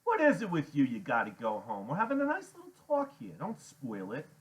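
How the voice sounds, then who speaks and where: A man voicing a big, mocking, menacing biker gang boss in an audiobook, with rough slangy commands. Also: medium pitch, expressive, normal speed, fairly bright tone, voice pushed up, natural voice, low-quality recording. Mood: toying menace.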